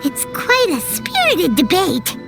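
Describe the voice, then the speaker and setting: A woman voicing a tiny, excitable, childlike fairy companion in an anime game, squeaky and exclamatory. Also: slow pace, gravelly, heavily acted. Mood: curious. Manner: exclamatory, speaks of self in third person. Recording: music playing behind the voice.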